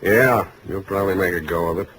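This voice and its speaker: Fast-talking hard-boiled detective. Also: male voice, low voice, a bit bright. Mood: wry. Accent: American. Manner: clipped, dry, sardonic. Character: wry, hard-boiled male private detective. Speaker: radio drama actor playing a detective. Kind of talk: 1940s radio acting. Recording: noisy old radio broadcast.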